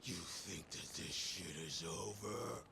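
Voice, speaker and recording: An actor playing a hot-tempered, rough-talking gangster in a video game dub, with forceful delivery. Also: male voice, low voice, slow pace, background noise, exaggerated voice. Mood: menacing. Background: music.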